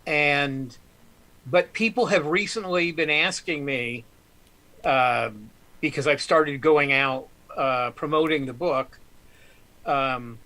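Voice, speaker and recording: Male author guest, bright and higher-pitched, casual rambling storyteller. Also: poor audio. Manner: rambling, many ums and restarts. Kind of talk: casual storytelling on a live stream.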